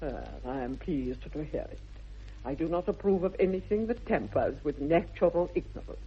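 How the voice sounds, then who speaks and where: A woman's voice: low-pitched, sing-song, normal speed, slightly rough, exaggerated voice. An actress playing an imperious, snobbish aristocratic English mother in a 1960s radio comedy, pompous and declamatory, with an upper-class accent. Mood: imperious, judging. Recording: dull old radio recording.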